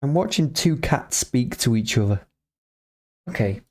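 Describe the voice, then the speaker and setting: A man's voice: mid-range voice, animated, unhurried, a little mellow. Wry man reacting to a video. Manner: wry, chatty, laughing asides. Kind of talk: casual reaction commentary.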